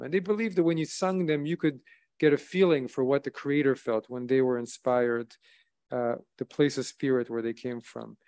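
Guy in his 30s giving a sermon at the lectern, slow and solemn, leans into key words, lectern mic. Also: medium pitch, darkish tone. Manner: measured, solemn preaching with rising emphasis on key phrases. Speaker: rabbi. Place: synagogue sanctuary at a wooden lectern, indoors. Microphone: lectern microphone, streamed by video.

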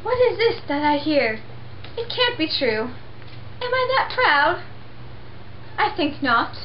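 A woman's voice: high voice, big pitch swings, slow, lo-fi sound, noisy background. Young woman reading a puppet play. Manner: reads several parts in a plain, earnest way. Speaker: amateur sock-puppet performer. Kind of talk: amateur puppet-show reading of a classic play. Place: indoor room behind a sheet backdrop.

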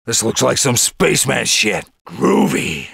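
A man playing a cocky, tough American Marine with a raspy voice, delivering combat one-liners for a video game. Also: medium pitch, big pitch swings, unhurried, fairly bright tone, dry recording, studio quality, full character voice. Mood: cool, menacing.

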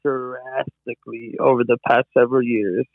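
A man's voice: medium pitch, level tone, moderate pace, no room sound. A young male podcast host chats in loose, slang-heavy talk, tossing in "bro" at every turn. His voice comes through a narrow, tinny, phone-like line with a dry, close sound. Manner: slangy, says "bro" and "hella" a lot. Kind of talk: casual conversation.

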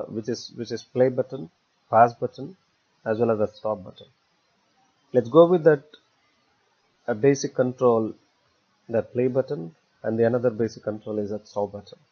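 A man narrates a software tutorial step by step. His voice sits at an average pitch, and he speaks in halting, repetitive short chunks. The recording is somewhat dull and lo-fi. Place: voice-over for a screen recording.

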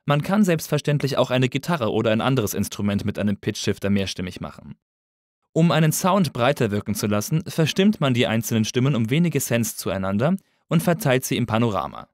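A man narrating a scripted tutorial as an instructor, in a measured, explanatory way. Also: medium pitch, big pitch swings, a little mellow, dry recording, clean studio sound.

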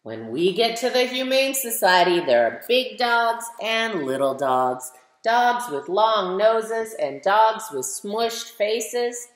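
A woman's voice: mid-range voice, big pitch swings, takes it slowly. A woman of fifty reading a picture book aloud expressively, speaking directly to young listeners with questions and emphasis. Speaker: children's author reading aloud. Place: living room at home, indoors. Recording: roomy indoor webcam echo.

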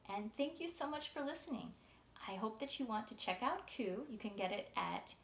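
Woman reading aloud in a roomy space. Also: mid-range voice. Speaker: author reading from own book. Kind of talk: read-aloud storytelling. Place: small furnished room indoors. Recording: roomy sound with faint noise.

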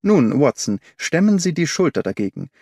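A man's voice: on the high side, very animated, moderate pace, a little mellow, breathy edge, dry recording, clean studio sound, voice pushed up, exaggerated voice. A man voicing a brilliant, confident detective in an audiobook, explaining in an assured, didactic way. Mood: brisk, commanding. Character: brilliant male detective, confident, analytical, commanding.

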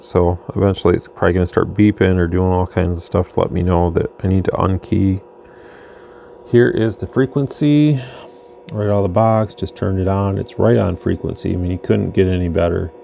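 A man's voice: low-pitched, fairly even intonation, fairly quick, creaky, mellow. A man giving a casual, unscripted hands-on product demonstration at an indoor workbench, talking as he goes. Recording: noticeable background noise.

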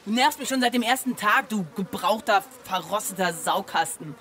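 A woman's voice: medium pitch, animated, normal speed, a bit bright, a little hiss or hum, close to an everyday voice. An actress playing a bickering, proud female mail machine in a radio play, snappish and insulting. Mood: irritated, insulting. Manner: reciting lists, snappish. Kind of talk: German radio play acting.

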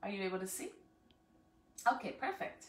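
A woman's voice: mid-range voice, very animated, moderate pace. A woman reading aloud to children on a livestream as a school educator, in an encouraging way, recorded at home from a few feet away. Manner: encouraging, addressing children with questions. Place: living room at home, indoors. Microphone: phone or camera a few feet away. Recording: echoey room, distant from the microphone.

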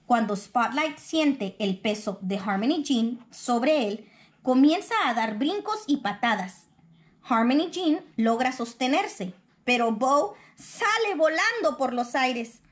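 Mujer de voz aguda leyendo un cuento. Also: female voice, high voice, lively intonation, slow pace. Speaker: picture book reader. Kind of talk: expressive children's storybook narration.

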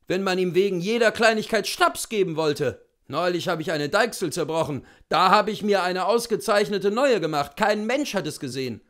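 A man voicing an old coachman who lectures loudly in a read-aloud story. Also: sits high, expressive, normal speed, few pauses, pitched above the performer's own voice. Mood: lecturing. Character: old male coachman, loud and know-it-all.

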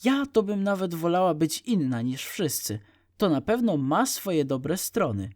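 Man as a little female frog, raised pitch, cheerful, eager, bossy, children's storybook friend. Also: sing-song, measured pace, a little mellow. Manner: eager, instructing.

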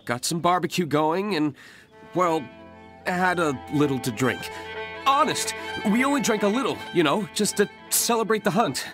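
A man playing an anxious, apologetic young village hunter in a video game, earnest and halting. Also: fairly high pitch, natural voice. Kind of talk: scripted video game dialogue. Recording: music behind. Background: background game music.

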